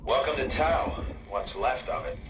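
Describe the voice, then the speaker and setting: A man's voice: medium pitch, animated, unhurried, lightly acted. Man playing a weary survivor, distant and noisy. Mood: weary, bleak. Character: weary stranded survivor of an undersea station. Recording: distant, clear background noise.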